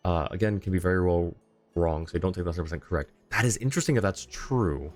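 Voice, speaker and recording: A man's voice: low voice, quick pace, no room sound, faint music behind. A man livestreaming a game, chatting casually and thinking aloud in an easygoing way.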